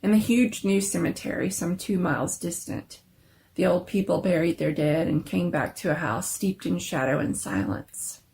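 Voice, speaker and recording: A woman's voice: on the low side, moderate pace, creaky, darkish tone, slight background noise, rough recording. A woman narrator giving a dramatic reading of a scary story.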